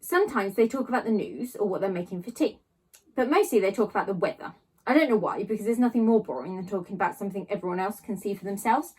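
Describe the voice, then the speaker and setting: A woman reading a children's novel aloud, narrating in a child's first person with expressive storytelling emphasis. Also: mid-range voice, breathy edge, rough recording. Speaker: storybook reader.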